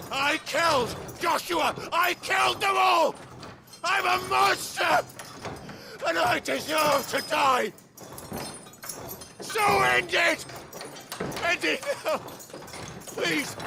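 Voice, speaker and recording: A man playing a guilt-stricken young man in a video game, shouting desperately through tears. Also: very high voice, animated, drawn-out words, vocal fry, long pauses, background noise, exaggerated voice. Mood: anguished, desperate. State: crying. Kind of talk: video game cutscene acting.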